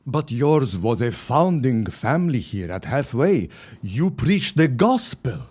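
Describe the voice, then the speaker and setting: An actor playing an obedient henchman in a period radio drama, speaking formally and with measured subservience. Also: mid-range voice, sing-song, a little mellow, slightly rough, heavily acted. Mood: deferential. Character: deferential, obedient henchman to a villainous reverend.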